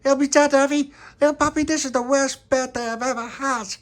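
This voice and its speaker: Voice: high voice, fairly even intonation, fairly quick, voice pushed up, lightly acted. A storyteller voicing a small, plaintive, easily wounded birthday boy. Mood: indignant whining.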